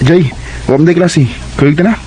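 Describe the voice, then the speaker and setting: A man's voice: a little high, fairly quick, background noise, lightly acted. A man playing an eager, bashful young student suitor in a Cebuano radio drama, with a Cebuano Filipino accent. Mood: playful. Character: eager, bashful young male student courting his classmate.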